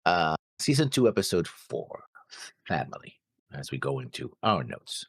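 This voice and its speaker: A man co-hosting a reaction show, talking casually through notes with halting, noncommittal phrasing. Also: deep voice, big pitch swings, no room sound, clean studio sound. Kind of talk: casual discussion, reading out notes.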